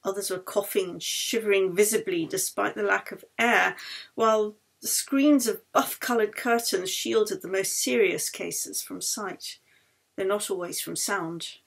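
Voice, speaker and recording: A woman reading a novel aloud as its author, in an expressive storytelling manner that brings the dialogue to life. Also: medium pitch, a little slow, creaky, breathy edge. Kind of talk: scripted narration, audiobook reading.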